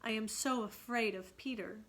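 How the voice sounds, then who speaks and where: A woman's voice: on the high side, moderate pace, a bit bright. A woman voicing a meek but dignified young boy from a storybook, earnest and remorseful.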